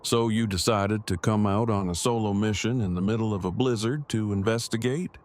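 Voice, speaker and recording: An actor playing a stiff, verbose, bookish man with deadpan, over-technical delivery in an audio drama. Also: on the low side, normal speed, lightly acted. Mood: stern. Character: stiff, bookish, verbose male survivor, dryly serious.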